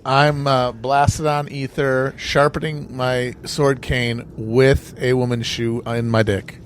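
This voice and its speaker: A man's voice: mid-range voice, level tone, slow pace, slight background noise. A man playing a tabletop game, improvising comic role-play in a mock-serious way.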